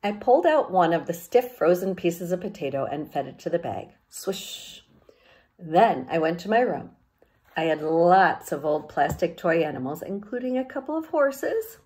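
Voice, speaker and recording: A middle-aged woman reads a children's story aloud in a slightly low voice. Her pitch moves a great deal as she tells it, and she acts out the dialogue. It sounds like a teacher reading at home into a device at arm's length. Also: expressive, moderate pace, darkish tone. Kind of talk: expressive read-aloud of a children's novel. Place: small home room with bookshelves.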